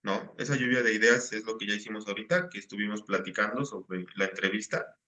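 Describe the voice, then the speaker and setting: Male teacher with a bright voice. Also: lowish voice, a little fast. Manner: patient, explanatory, checks in with "¿eh?". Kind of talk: online class instruction. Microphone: video call.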